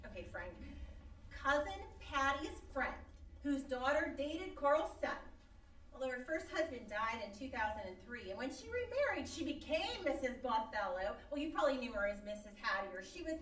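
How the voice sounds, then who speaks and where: A woman's voice: sits high, moderate pace. A woman playing a gossipy, easily exasperated small-town wife in a live old-time radio comedy. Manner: chattily rambling, expressive. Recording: echoey live stage, distant mic.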